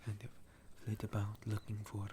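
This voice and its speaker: A man voicing a gentle, centuries-old sun elf and retired soldier in an intimate ASMR roleplay, soft and breathy, often slipping into a whisper, with a hesitant storytelling manner. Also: on the low side, monotone, slight background noise, close to an everyday voice. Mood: relaxed, musing. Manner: slow, hesitant, softly chuckling storyteller.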